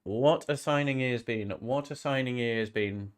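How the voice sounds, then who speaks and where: Guy with a bit of a cold rambling on a football watch-along stream, average pitch, repeats himself. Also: normal speed. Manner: rambling, often repeats phrases. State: slight head cold, sniffly. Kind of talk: casual live-stream chat.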